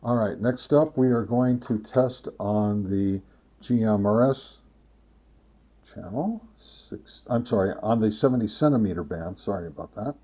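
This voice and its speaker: A man hosting a casual product test, talking in a methodical, halting way and reading out figures deliberately. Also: lowish voice, mellow. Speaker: video host, radio reviewer.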